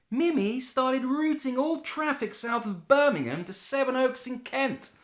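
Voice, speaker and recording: A woman playing a polite but harried British tech company employee in a radio play, earnest and exasperated in manner. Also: a little high.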